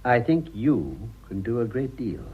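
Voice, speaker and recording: An older gentleman in a radio drama, urbane and commanding. The voice is husky and swings widely in pitch, with dry gravity, on a dull recording. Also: sing-song, measured pace, full character voice. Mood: grave, urgent. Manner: formal, wry, commanding.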